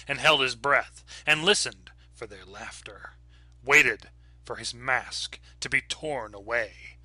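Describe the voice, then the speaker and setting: Measured bright-voiced male horror narrator. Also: mid-range voice, dry recording. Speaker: narrator reading a horror story. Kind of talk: scripted narration.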